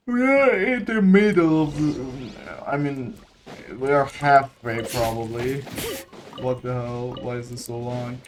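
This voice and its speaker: A man's voice: medium pitch, measured pace, background noise. A man giving casual gameplay commentary as a game streamer. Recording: game music behind the voice.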